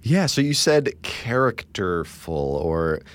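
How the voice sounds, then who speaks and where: A man's voice: on the low side, very animated, slow, clear, resonant tone, no room sound. A man hosting a casual interview, asking long rambling questions full of "like" and "you know". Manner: rambling, chatty questions with many "like"s.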